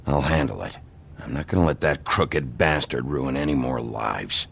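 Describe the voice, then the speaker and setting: An actor playing a gruff, stern, weary sheriff of fairy-tale folk in a video game, terse and blunt with a gravelly voice. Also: male voice, rumbling low, lively intonation, moderate pace, a bit bright, heavily acted. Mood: grim, resolute.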